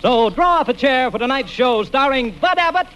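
A man announcing in 1940s radio style, proclaiming with drawn-out words. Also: extremely high pitch, animated. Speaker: show announcer. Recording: old 1940s radio broadcast, loud music. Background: loud music.

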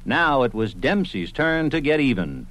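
A man's voice: medium pitch, sing-song, normal speed, a bit bright. A man reading scripted historical narration as a radio documentary narrator. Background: music.

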